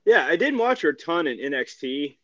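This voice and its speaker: A man co-hosting a wrestling podcast, chatting casually about sport in a halting way, repeating phrases as he searches for words. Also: on the high side, very animated, sharp tone, no room sound, highs cut off. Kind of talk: casual sports recap chat.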